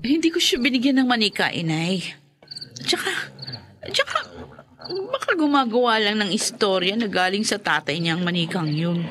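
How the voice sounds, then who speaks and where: A woman's voice: mid-range voice, lively intonation, moderate pace, music in the background, voice pushed down, close to an everyday voice. A woman voicing a weary, doubting young mother in a radio horror drama. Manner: dismissive.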